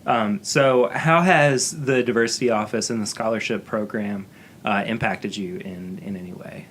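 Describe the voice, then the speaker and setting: A woman conducting a casual interview, enthusiastic and quick to laugh. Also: extremely low pitch, a little hiss or hum.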